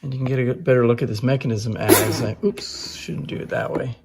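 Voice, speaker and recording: A man's voice: mid-range voice, fairly even intonation, fairly quick, noisy background. A man giving an informal, conversational demonstration and explanation as a presenter, with an appreciative manner. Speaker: presenter showing antique phonographs. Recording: echoey room.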